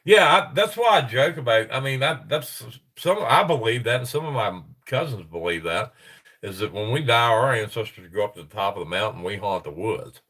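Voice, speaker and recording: A man's voice: lowish voice, expressive, fairly quick, fairly bright tone, slightly rough. A middle-aged man with a stuffy, congested voice, hosting a casual livestream and reading reports aloud with rambling, chuckling asides. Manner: rambling, chuckling, self-interrupting. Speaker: livestream host.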